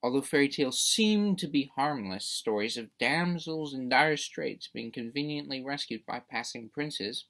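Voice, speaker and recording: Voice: mid-range voice, expressive, moderate pace, few pauses, a bit bright. A debate speaker delivering a prepared persuasive speech in a formal, emphatic, rhetorical manner.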